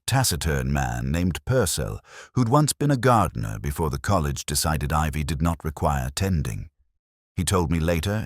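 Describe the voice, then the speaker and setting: Very deep, brooding older detective, played by a man. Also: no room sound, studio quality, exaggerated voice. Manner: measured, reflective, literary, grave. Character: brooding older male detective inspector, melancholy, cerebral, wry. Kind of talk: scripted first-person mystery narration, radio drama.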